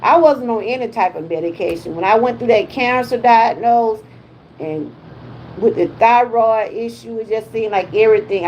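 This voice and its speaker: A woman's voice: medium pitch, darkish tone, a little hiss or hum, poor audio. A woman in her fifties with a Southern US accent, chatting casually on her wellness livestream with folksy exclamations. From Georgia, USA. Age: 50s. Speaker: wellness vlogger.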